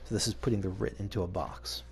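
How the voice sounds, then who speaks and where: A man's voice: lowish voice, fairly even intonation, fast, creaky, a little hiss or hum. A man commenting on a video game playthrough, thinking aloud and reading story text out. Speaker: gamer playing through a video game and commenting. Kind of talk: casual commentary mixed with dramatic reading of on-screen story text. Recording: game music behind the voice.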